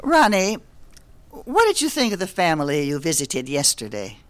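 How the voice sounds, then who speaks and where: Radio drama social worker: calm, caring, reassuring, speaking earnestly to a child. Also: female voice, low voice, moderate pace. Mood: gentle, hopeful.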